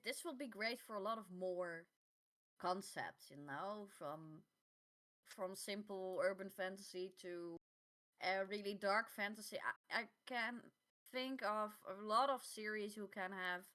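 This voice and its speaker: A woman co-hosting a podcast in casual chat, halting and repeating words as she finds her phrasing. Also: medium pitch, sharp tone. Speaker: podcast co-host.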